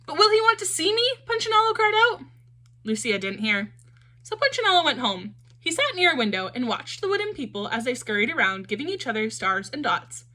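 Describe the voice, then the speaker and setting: A woman reading a children's storybook aloud in an expressive, animated storytelling manner. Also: on the high side, a little fast.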